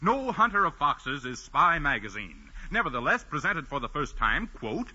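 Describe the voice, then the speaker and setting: A pompous magazine tycoon in a 1940s radio comedy. He declaims with wide swoops of pitch and a piercingly bright, forceful tone. The old recording is dulled. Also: very animated, moderate pace, lo-fi sound, heavily acted. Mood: grandiose. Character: pompous, bombastic male magazine publisher, bossy and grandiose.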